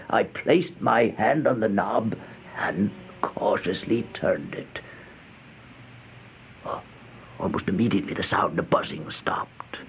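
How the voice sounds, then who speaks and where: An actor playing a starving, feeble, fearful vagrant in an old-time radio horror monologue, halting and stammering, with gasps and whispers. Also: male voice, on the low side, vocal fry, exaggerated voice. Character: starving, feeble, once-proud male vagrant turned housebreaker, haunted and fearful. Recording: noisy old radio drama recording.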